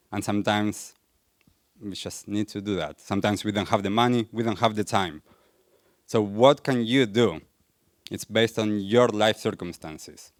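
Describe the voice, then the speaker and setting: A man's voice: lowish voice, dry recording, studio quality. A young adult man from Argentina with an Argentine accent, giving an earnest talk to a live audience and asking them questions. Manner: earnest, asks the audience questions, light laughs. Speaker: wellness coach and speaker. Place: event venue in front of a live audience.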